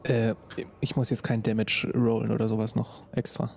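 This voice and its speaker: A man's voice: fairly low pitch, level tone, normal speed, vocal fry, a little mellow, a little hiss or hum. A man chatting casually as a player in a tabletop game.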